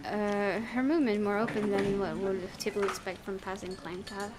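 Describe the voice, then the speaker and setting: A woman's voice: mid-range voice, steady tone, fairly quick, noisy background. A woman hosting a gaming video, reading visual novel narration aloud and occasionally stumbling and correcting herself.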